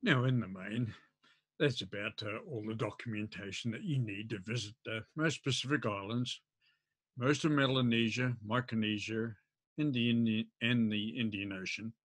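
An older man, explaining informally to camera in a halting, hesitant way. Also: lowish voice, fairly bright tone, no room sound. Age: older, around 70s. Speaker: amateur radio vlogger. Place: indoors at a desk.

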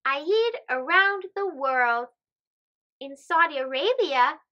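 A young woman with an extremely high, girlish pitch reads to small children. Her voice is bright and forward, and she takes her time, shaping phrases in an expressive sing-song way. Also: lo-fi sound. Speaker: host reading children's books aloud. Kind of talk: animated children's storybook read-aloud.

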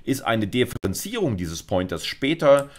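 A man's voice: medium pitch, big pitch swings, moderate pace. A middle-aged man giving an explanatory university video lecture in a conversational way, often checking in with short tags. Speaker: university computer science lecturer.

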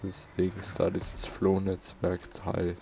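A man's voice: low voice, monotone, takes it slowly, gravelly, background noise. A man giving casual gameplay commentary as a let's play host, muttering to himself.

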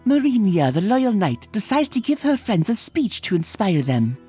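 A woman's voice: medium pitch, big pitch swings, normal speed, dark tone, husky. A woman voicing an earnest young zebra filly as a game master in a cartoon comic dub. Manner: storytelling, earnest. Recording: music behind the voice.

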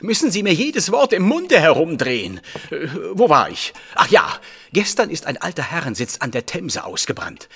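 An actor playing an excitable, easily flustered police inspector in an audio drama, blustering and hurried. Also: male voice, fairly high pitch, slight background noise. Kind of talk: German audio drama acting.

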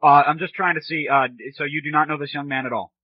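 A man's voice: a little high, steady tone, fast. Sarcastic man in muffled lo-fi audio. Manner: sarcastic, foul-mouthed. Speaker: show host. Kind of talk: irreverent comedy show and prank call. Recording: dull lo-fi old internet radio audio.

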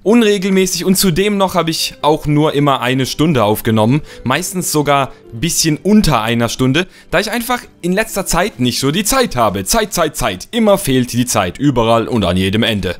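A young man with a slightly rough, cold-worn voice, chatting playfully and rambling as he narrates his gameplay. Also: on the high side, expressive, moderate pace, few pauses, soft background music. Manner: playful, rambling, repeats words for fun. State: hoarse from a cold. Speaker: let's play host. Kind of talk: casual gameplay commentary.

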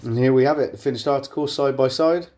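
A relaxed English man in his thirties talks through his work like a friendly vlogger, easygoing and chatty with plenty of ums. He sits in a parked van, filming himself on a phone at arm's length. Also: mid-range voice, quick pace, no room sound, clean studio sound. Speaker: window cleaner vlogger. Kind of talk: casual how-to vlog. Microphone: phone camera at arm's length.

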